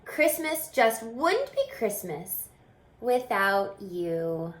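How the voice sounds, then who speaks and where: A woman's voice: mid-range voice, very animated, drawn-out words. A woman voicing a small, earnest, selfless male Christmas elf from a storybook in a sincere, sing-song way. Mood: tender, singing. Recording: roomy, echoing room sound.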